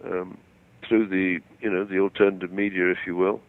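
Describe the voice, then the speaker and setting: An older man explaining his views over the phone, self-assured but halting and digressive. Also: sits low, little pitch movement. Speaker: phone-in guest. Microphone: telephone. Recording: tinny narrow-band phone call.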